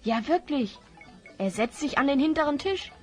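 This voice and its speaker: Casting: a level-headed, slightly anxious young hero, lightly high voice, natural delivery, audio drama with music behind. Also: female voice, lively intonation, normal speed, darkish tone. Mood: mildly worried. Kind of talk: German fantasy audio drama acting.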